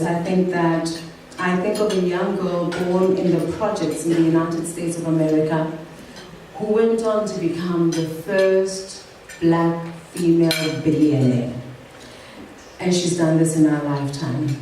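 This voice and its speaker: A woman's voice: lowish voice, warm tone. South african lady doing a keynote, earnest and reflective, roomy hall, faint hiss. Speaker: keynote speaker. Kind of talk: public speech at an awards evening. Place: event venue. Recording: echoey hall from far off.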